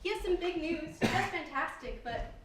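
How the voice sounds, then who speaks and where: A woman's voice: very high voice, animated, normal speed. An actress playing a prim, fussy mother and placating wife in a chatty, genteel stage manner. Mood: fussy, cheerful. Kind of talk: stage acting in a one-act play. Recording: echoey, noisy stage recording, distant mic.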